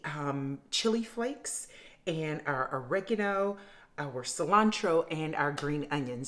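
Woman, middle-aged, low pitch, chatty home-kitchen cooking host. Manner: chatty, addresses viewers as fam. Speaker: cooking vlogger. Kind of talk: casual cooking demonstration. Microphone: camera facing her at counter.

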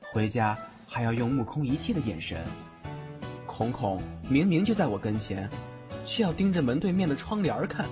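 A man playing a smitten, easily flustered young man in an audio drama, expressive, tender and a little exasperated. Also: mid-range voice, lightly acted. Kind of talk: audio drama acting with inner monologue. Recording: muffled lo-fi audio drama over music.

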